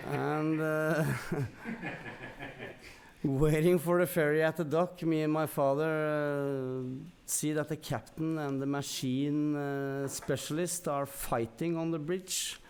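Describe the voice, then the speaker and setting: Norwegian guy on a podcast, slow halting storyteller, lots of pauses. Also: fairly high pitch, fairly even intonation, a little mellow. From Norway. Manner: halting, hesitant delivery with long pauses. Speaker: podcast guest. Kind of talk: casual storytelling in an interview.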